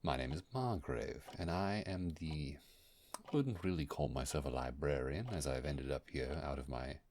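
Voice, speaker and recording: Casting: male actor for an ancient furry monster scholar, extremely low voice, pompous wordy speech that fumbles, audio roleplay, faint background noise. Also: normal speed, darkish tone. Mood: apologetic, fascinated. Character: ancient scholarly furry creature, bookish, awkward, eager to impress. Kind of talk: immersive roleplay audio monologue.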